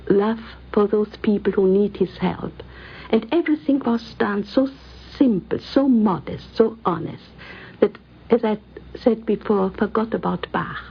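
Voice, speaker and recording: An older woman recalls personal memories in an earnest, deliberate way, on a 1950s radio recording. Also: mid-range voice, creaky, breathy edge, dull audio. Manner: earnest, deliberate, measured phrasing. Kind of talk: recorded reminiscence, personal storytelling.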